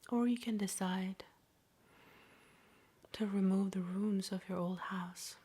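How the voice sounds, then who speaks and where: Breathy whispering woman, slow ASMR meditation. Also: medium pitch, steady tone. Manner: slow, gentle, soothing delivery with long pauses. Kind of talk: soft-spoken whispered ASMR guided meditation.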